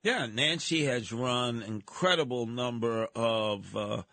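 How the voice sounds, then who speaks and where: A man's voice: mid-range voice, takes it slowly, bright, forceful tone, no echo. A man with a New York accent hosting live call-in talk radio, talking in an emphatic, theatrical, rambling way. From New York City. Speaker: radio talk show host.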